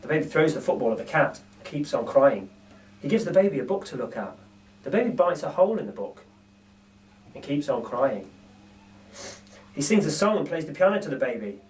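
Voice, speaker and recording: A man reading a children's storybook aloud, with deliberate, dramatic phrasing. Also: mid-range voice. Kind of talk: expressive children's story narration. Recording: distant microphone.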